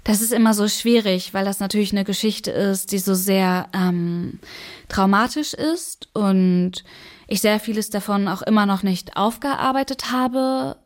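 A woman's voice: medium pitch, a little slow. Hesitant young woman from Afghanistan. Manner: hesitant, many fillers, emotional. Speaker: activist telling her story. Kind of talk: documentary interview.

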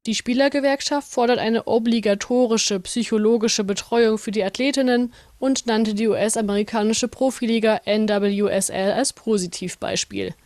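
A woman's voice: on the high side, fairly even intonation, moderate pace, hardly any pauses, darkish tone. A woman reading a scripted sports news report as a radio reporter.

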